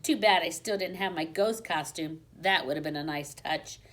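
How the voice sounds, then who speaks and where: A woman reading a children's storybook aloud in an expressive, dramatic manner, dropping to a hush for suspense. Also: lowish voice, normal speed. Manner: dramatic, with hushed suspenseful drops.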